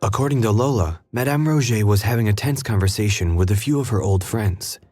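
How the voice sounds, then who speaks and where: An actor voicing a calm, matter-of-fact detective in a game. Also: male voice, on the low side, brisk, no room sound, lightly acted.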